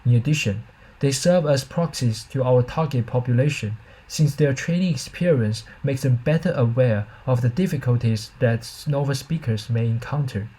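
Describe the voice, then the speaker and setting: Husky, mellow man presenting research. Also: mid-range voice, moderate pace, low-quality recording. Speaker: researcher presenting own system. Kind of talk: scripted academic presentation narration.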